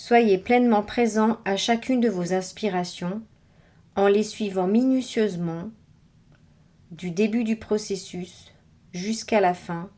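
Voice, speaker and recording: A woman guiding a body scan meditation with measured, scripted instructions and pauses between phrases. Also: medium pitch, warm tone. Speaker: meditation guide.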